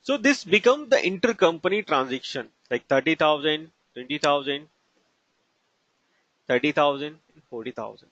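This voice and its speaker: A man with an Indian accent gives an instructional lecture in a slightly high voice. He moves haltingly, phrase by phrase, as he explains each example. The recording is dry and close, with a dull, lo-fi sound. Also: expressive, fairly bright tone, no echo. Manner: halting, broken into short phrases. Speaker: trainer.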